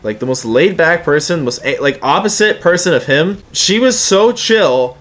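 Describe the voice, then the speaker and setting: A man's voice: on the high side, animated, normal speed, fairly bright tone. A young man telling personal anecdotes in a casual, slangy, sometimes profane way, acting out the dialogue as he goes. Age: young adult. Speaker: YouTuber telling personal stories.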